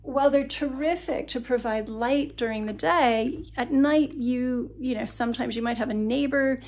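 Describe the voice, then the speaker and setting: A woman's voice: high-pitched, moderate pace, darkish tone, a little hiss or hum. A middle-aged woman talking casually in her bedroom, explaining things in a chatty way with frequent ums, recorded on a phone held at arm's length. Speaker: vlogger. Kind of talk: casual informative vlog. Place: sunlit bedroom by a curtained window. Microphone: phone camera held at arm's length.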